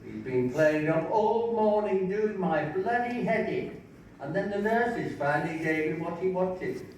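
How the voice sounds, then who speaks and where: A man's voice: high-pitched, lively intonation, unhurried, a little mellow. An older man reading a grumpy, cynical, depressed psychiatric patient in a stage play, sour and sarcastic, in a very echoey, distant recording with faint noise. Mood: bitter grumbling. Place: large echoey room, mic at a distance.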